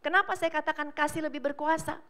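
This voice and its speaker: A woman pastor preaching a sermon to a church service, speaking emphatically to the congregation and swinging between loud declarations and soft asides. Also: high voice, steady tone, quick pace. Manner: emphatic preaching, often addressing listeners as brothers and sisters.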